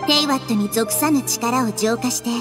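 Game role: woman playing childlike sage deity, high, calm, thoughtful delivery over clear background music. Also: moderate pace, natural voice. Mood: calm, explanatory. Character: small, childlike goddess, wise, gentle, composed. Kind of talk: video game character acting.

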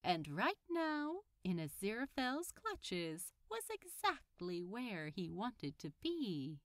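A woman's voice: mid-range voice, big pitch swings, slow, no room sound. Woman, scripted story narration, leisurely pace, dry close recording. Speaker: audiobook narrator.

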